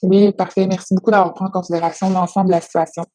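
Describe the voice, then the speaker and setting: A woman speaking as a therapist in a measured, formal, explanatory way on a professional call. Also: lowish voice, flat delivery, warm tone. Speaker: occupational therapist. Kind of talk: professional phone consultation, prepared report.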